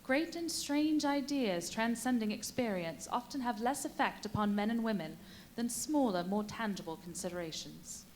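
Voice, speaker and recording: A woman narrating a live radio play as a composed, wry storyteller with measured, formal delivery. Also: fairly high pitch, animated, darkish tone, lightly acted. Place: live performance at a brewery venue. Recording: live stage recording, light noise.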